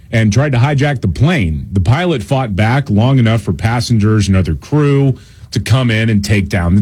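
A man's voice: on the low side, a little mellow, slightly rough. A man co-hosting a talk radio show, recapping news in a loose, joking way. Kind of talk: casual talk radio chat.

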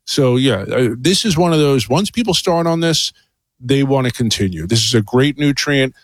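A man's voice: mid-range voice, lively intonation, normal speed, dry recording. A man appearing as a doctor on a radio infomercial, chatting casually and enthusiastically about a supplement, with fillers. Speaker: guest doctor promoting a supplement.

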